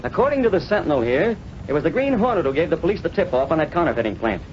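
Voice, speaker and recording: Brash wisecracking American newshound, rapid slangy delivery, vintage radio serial, dull noisy recording. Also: male voice, a little high, big pitch swings. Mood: needling. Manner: slangy, cocky. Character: brash wisecracking male newspaper reporter. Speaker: radio actor. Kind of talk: vintage radio drama acting. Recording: muffled old radio recording, faint noise.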